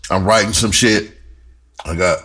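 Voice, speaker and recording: Low-voiced American man, candid storytelling. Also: fairly even intonation, measured pace, a bit bright, husky. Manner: candid, profane, repeats words for emphasis. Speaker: rapper and writer telling their story. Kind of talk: casual personal storytelling.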